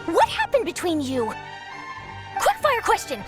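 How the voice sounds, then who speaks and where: A very high-voiced, peppy young newswoman presses hard with theatrical, nosy persistence. Her pitch leaps about in anime game style over clear background music. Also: huge pitch swings, exaggerated voice. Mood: probing, triumphant. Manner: pressing, theatrical, quick-fire questioning. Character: eager young female newspaper reporter, persistent, nosy, peppy. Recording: music playing behind the voice.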